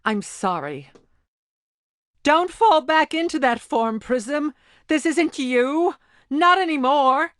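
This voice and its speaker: Haughty gem warrior, sweeping intonation. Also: female voice, high-pitched, expressive, takes it slowly, no echo, clean studio sound. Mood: earnest, pleading. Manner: haughty, theatrical. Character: proud, competitive female gem warrior turned reluctant ally. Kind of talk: animated game voice acting.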